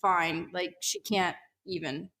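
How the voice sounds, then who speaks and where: A woman's voice: lowish voice, steady tone, slow pace, gravelly, pushed and bright. A woman improvising third-person narration for her character as a player in a tabletop game.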